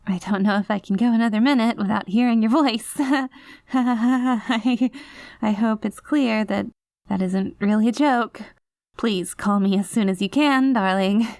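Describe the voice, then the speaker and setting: A woman's voice: on the high side, measured pace, darkish tone, no room sound, clean studio sound. A woman playing an obsessive, clingy, doting girlfriend in an ASMR roleplay, rambling and gushing in an anxious, lovestruck way. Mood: anxious, insistent. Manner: gushing, rambling, with nervous giggles and breathy asides. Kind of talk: ASMR roleplay, acted voicemail monologue.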